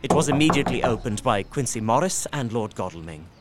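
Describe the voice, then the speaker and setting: A man narrating as a steady, earnest Victorian journal writer. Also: mid-range voice, quick pace, heavy background noise, lightly acted. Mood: tense. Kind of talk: audio drama narration.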